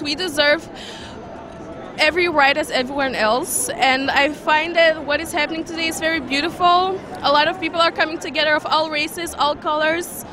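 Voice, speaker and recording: A woman protester giving an earnest, measured interview answer. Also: sits high, noisy background. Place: outdoors at a rally. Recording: crowd behind.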